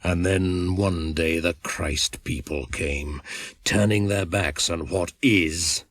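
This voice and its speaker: A man's voice: low voice, breathy edge, exaggerated voice. An actor playing an ancient, brooding, scornful pagan shaman spirit in an incantatory radio drama monologue. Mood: brooding, resentful. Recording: 1980s radio broadcast, music underneath. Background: music.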